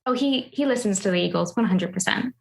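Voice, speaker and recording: A woman's voice: mid-range voice, fast talker, gravelly. A woman talking casually on a book club podcast, enthusiastic and playful.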